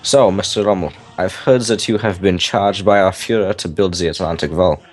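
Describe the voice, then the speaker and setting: A man acts as a German wartime radio interviewer with a low voice, formal and deferential toward his guest. Faint music runs behind the staged 1940s broadcast. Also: normal speed, lightly acted.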